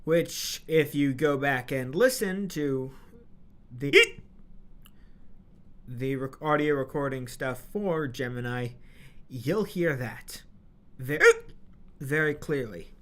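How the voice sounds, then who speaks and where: A woman's voice: extremely low pitch, takes it slowly, pauses often. A young woman with hiccups recording audio drama narration, restarting lines and breaking into rambling, mildly exasperated asides. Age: young adult. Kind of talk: blooper outtakes, scripted narration with chatty asides.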